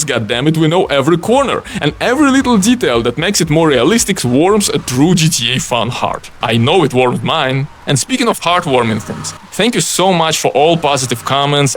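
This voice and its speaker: A man's voice: a little high, a little hiss or hum. A man who speaks English as a second language, narrating a scripted game mod showcase as its creator, with playful, wry asides. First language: not English. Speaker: video creator and game modder.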